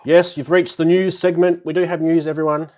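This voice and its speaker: A man's voice: on the high side, little pitch movement, fairly quick. Jokey man hosting a chat show. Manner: jokey, laughs at own jokes. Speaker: podcast host. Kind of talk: casual chat.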